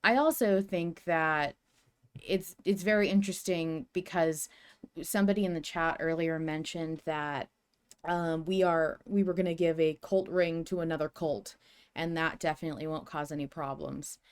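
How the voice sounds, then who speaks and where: A woman's voice: low voice, fairly even intonation, moderate pace, clear, resonant tone. A woman co-hosting a podcast, reasoning and speculating aloud in a casual discussion. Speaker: podcast co-host.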